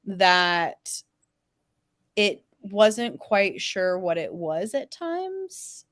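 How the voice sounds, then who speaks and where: A woman panelist chatting casually in a halting, informal way with many filler words. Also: mid-range voice, sing-song, fairly bright tone, no echo, clean studio sound.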